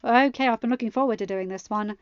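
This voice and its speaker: A woman's voice: a little high, fast, clean tone, no room sound. A woman reading a story aloud as narrator and host, sometimes chatting casually to her listeners. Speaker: podfic reader and host. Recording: slightly muffled, dry, music underneath. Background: quiet music.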